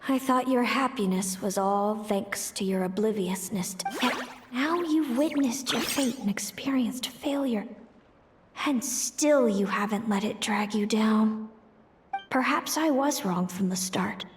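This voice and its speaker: A woman playing a solemn, fatalistic young seeress in a video game, speaking in a measured, brooding, formal way. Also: fairly high pitch, slight background noise, close to an everyday voice. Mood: reflective. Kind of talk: dramatic video game voice acting.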